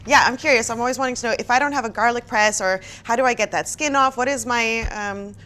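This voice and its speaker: A woman's voice: a little high, fast talker, bright, forceful tone, slight background noise. A woman hosting a cooking workshop in a kitchen, upbeat and chatty, asking her guests questions. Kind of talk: casual hosted cooking show.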